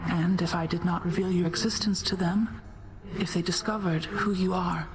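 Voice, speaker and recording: Actress as ancient night goddess, low, measured and solemn, over music. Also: female voice, fairly even intonation, natural voice, poor audio.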